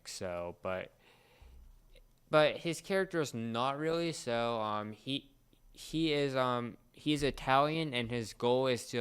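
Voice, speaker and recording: A man co-hosting a casual talk, explaining things in a halting way with repeated and restarted phrases. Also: mid-range voice, fairly bright tone, smooth. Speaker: podcast co-host explaining a series.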